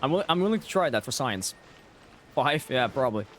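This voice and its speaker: A man's voice: mid-range voice, sing-song, fairly quick, fairly bright tone, slight background noise. A man streaming a game and chatting casually and wryly with viewers in short, informal reactions. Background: game music.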